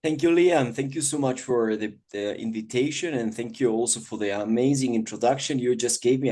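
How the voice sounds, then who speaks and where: A man from Ecuador, telling his story as an interview guest, grateful and warm, often pausing on "uh" and repeating words. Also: mid-range voice.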